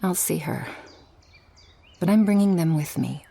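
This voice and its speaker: Woman playing a breathy, low-voiced shark girl. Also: a little slow, exaggerated voice. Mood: resigned. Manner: soft, breathy, intimate, often sighing. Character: guarded, loving shark girl, former freak show performer. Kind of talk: ASMR roleplay acting.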